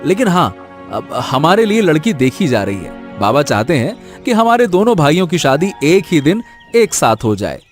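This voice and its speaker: A man playing a caring, gently scolding elder brother, a landlord's son, in a moral story. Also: a little high, very animated, normal speed, soft background music. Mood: chiding, reassuring.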